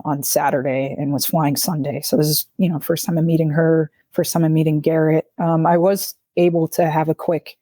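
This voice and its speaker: A woman's voice: deep voice, dark tone, no echo. A woman film director giving a casual, informal account as an interview guest.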